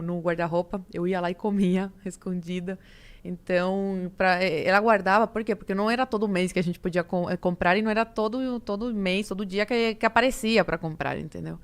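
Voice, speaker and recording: A woman in her twenties from Cuba, a native Spanish speaker with a Cuban accent, telling stories casually and acting out bits of dialogue. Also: mid-range voice. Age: 20s. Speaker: podcast guest. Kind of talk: casual conversational storytelling.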